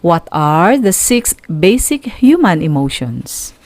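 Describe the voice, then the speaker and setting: A woman with a Filipino accent giving an instructional radio lesson in a deliberate, teacherly way. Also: on the low side, very animated, a little mellow, dry recording.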